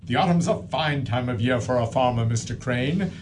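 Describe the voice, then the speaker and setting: A man's voice: mid-range voice, normal speed, darkish tone, slight background noise, natural voice. An actor playing a prosperous, genial older male farmer who speaks in a hearty, folksy way in a radio play. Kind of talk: community radio play acting.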